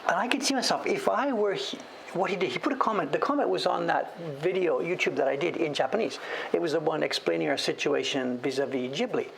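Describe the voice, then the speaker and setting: A man's voice: on the high side, fast, gravelly, low-quality recording. A man chatting casually on a livestream while he carves, rambling and self-deprecating, chuckling as he tells a story. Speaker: streamer and woodblock print carver.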